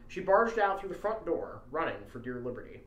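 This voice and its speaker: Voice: medium pitch, very animated, brisk, a little hiss or hum. A podcast co-host who moves between dramatic story narration and casual chat. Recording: echoey, roomy recording.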